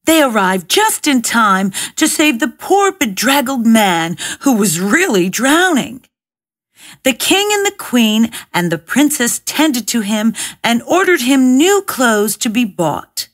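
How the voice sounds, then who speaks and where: Slow, animated woman storyteller. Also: fairly high pitch. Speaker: audiobook narrator. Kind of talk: scripted fairy-tale narration.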